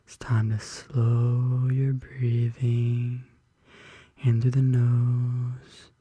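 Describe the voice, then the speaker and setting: A soft-spoken ASMR host murmuring and whispering intimately and slowly in a soothing bedtime-story style, close to the microphone. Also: mid-range voice, monotone, slightly rough, no echo, highs cut off. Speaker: ASMR video creator and host. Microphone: close studio condenser microphone.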